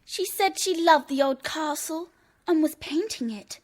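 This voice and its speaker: A woman's voice: high voice, a little slow. A woman playing a cheeky, stubborn young girl in a children's audio drama, teasing and smug with emphatic delivery. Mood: boastful.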